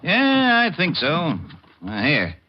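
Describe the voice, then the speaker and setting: A man's voice: fairly high pitch, huge pitch swings, slow, heavily acted. A man playing a brusque police lieutenant in an old radio detective drama, with emphatic cop talk. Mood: businesslike. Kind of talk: 1940s-50s radio detective acting. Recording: dull, slightly noisy vintage radio.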